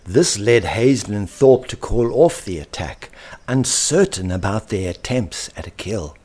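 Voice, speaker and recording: A man with a low-pitched voice narrates a storybook tale at a slow, deliberate pace. His delivery is theatrical and swings widely in pitch, stretching phrases out and sinking into hushed tones. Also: animated, husky. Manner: dramatic, drawn-out phrasing dropping into hushed whispers. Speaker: author reading his own story.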